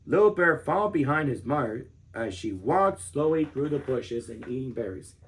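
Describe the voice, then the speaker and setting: A man of thirty reading a children's book aloud as an expressive storyteller. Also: mid-range voice, normal speed, low-quality recording.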